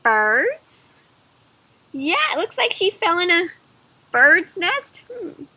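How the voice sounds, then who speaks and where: A woman doing an interactive picture-book read-aloud for children, expressive and pausing to ask her young listeners questions in chatty asides. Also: very high-pitched, dry recording.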